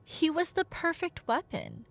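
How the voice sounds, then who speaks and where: A woman's voice: high-pitched, wildly swooping pitch, a little slow, creaky, full character voice. A woman playing an enthusiastic nerdy girlfriend in an audio roleplay, telling stories in a casual, emphatic, colloquial ramble. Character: enthusiastic nerdy girlfriend explaining Greek myths.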